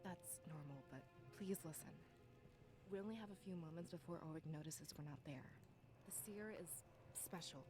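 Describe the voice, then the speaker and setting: A woman's voice: deep voice, moderate pace, lightly acted. A woman playing an earnest young scribe in a visual novel, explaining things urgently to a lifelong friend. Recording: game audio under prominent music.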